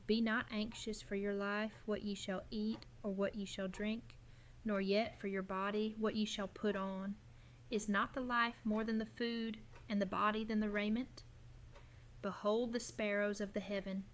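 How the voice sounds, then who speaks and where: A woman's voice: medium pitch, a bit bright, a little hiss or hum. A woman acting an earnest community leader in a fan audio drama.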